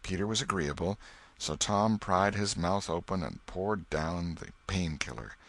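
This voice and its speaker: A man reading a story aloud in an expressive, varied narrating style. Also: deep voice, unhurried.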